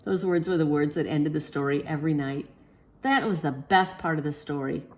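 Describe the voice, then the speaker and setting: A woman's voice: deep voice, moderate pace, darkish tone. A woman storyteller reading a children's storybook expressively.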